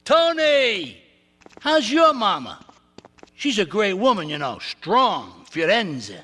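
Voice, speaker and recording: Older mob boss, game cutscene, bossy, gruff, high animated voice. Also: male voice, slow pace, exaggerated voice. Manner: commanding, gruff and patronizing. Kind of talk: video game cutscene acting.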